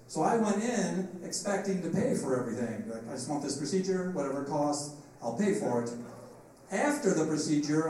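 A man's voice: fairly high pitch, darkish tone. A middle-aged man, a comedian and podcast host, speaking casually as he interviews and tells comic anecdotes. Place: convention. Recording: echoey, noisy convention, distant mic.

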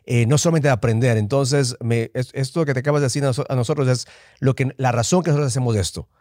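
A man, co-hosting a podcast casually, often halting and rephrasing as he talks. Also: medium pitch, dark tone, no room sound, clean studio sound. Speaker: podcast co-host. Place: remote call.

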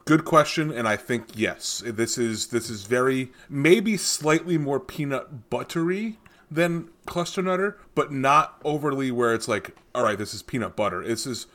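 A man's voice: medium pitch, moderate pace, fairly bright tone. Rambling male beer podcast host. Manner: rambling, tangential, with many fillers and restarts. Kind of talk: casual chat.

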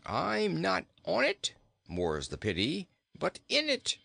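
A man's voice: high-pitched, huge pitch swings, slow, vocal fry, bright, forceful tone, no room sound, clean studio sound, pitched above the performer's own voice, full character voice. A man voicing a plump, jolly, pun-loving king in a theatrical storybook manner. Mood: musing, wry. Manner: theatrical, exclamatory, chuckling at own jokes.

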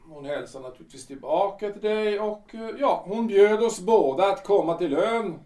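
An actor playing a suspicious retired civil servant of sixty-two in a radio drama, halting and repeating himself. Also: male voice, high-pitched, lively intonation, mellow. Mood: uneasy. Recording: echoey room, distant from mic.

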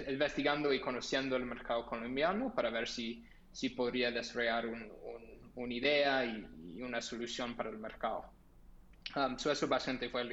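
A man's voice: mid-range voice, fairly bright tone, lo-fi sound. A man from the United States with an American accent, a startup founder speaking as a podcast guest in Spanish, hesitant with many fillers and restarts. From Los Angeles, United States. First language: English. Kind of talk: podcast interview.

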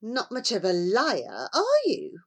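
A woman's voice: mid-range voice, huge pitch swings, slow, fairly bright tone, heavily acted. A woman reading a story aloud, voicing a harsh, spiteful housekeeper. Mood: scornful.

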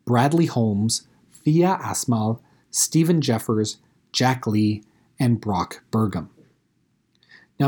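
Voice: medium pitch, a little mellow, husky. An audio drama series host reading a scripted outro in a conversational, wry way.

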